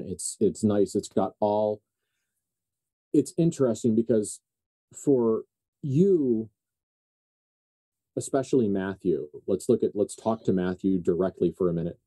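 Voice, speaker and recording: Dark-voiced man narrating a tabletop game. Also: fairly low pitch, slightly rough, no echo. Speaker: game master.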